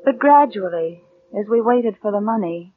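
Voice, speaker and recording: An actress playing a cool, possessive, murderous niece in a radio suspense drama, acting and narrating with composed, deliberate control. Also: female voice, medium pitch, lively intonation, over loud music, close to an everyday voice. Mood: chilly, confiding. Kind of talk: 1940s-50s American radio suspense acting and first-person narration. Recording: tinny old radio recording with noise.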